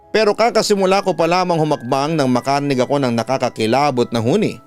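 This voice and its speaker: A man narrating a scripted horror story like a radio announcer, in a deliberate, suspenseful way. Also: mid-range voice, a little mellow. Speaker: radio announcer reading listeners' horror stories. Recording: music bed under the voice. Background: background music.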